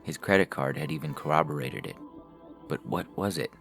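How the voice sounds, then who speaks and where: A man's voice: rumbling low, very animated, fairly quick, creaky, a bit bright. A man reading scripted fiction as the narrator of a story. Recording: music bed under the voice. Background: music.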